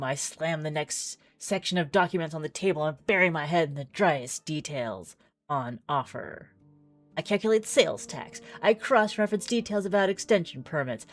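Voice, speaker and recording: A woman's voice: low-pitched, lively intonation, normal speed, soft background music. A woman streamer doing chatty game playthrough commentary, reading text aloud and musing as she goes.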